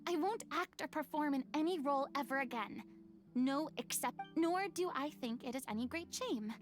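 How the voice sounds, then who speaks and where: An actress playing a proud, expressive young woman in a video game, with an earnest, theatrical way of talking. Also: sits high. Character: expressive, proud young woman, former deity turned ordinary. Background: music.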